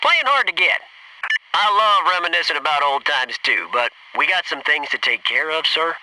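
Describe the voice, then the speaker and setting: A man's voice: a little high, lively intonation, normal speed, recorded from a distance, background noise, natural voice. An American man playing a wisecracking, gung-ho Navy SEAL in a video game, with cocky, clipped military delivery. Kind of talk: video game combat barks.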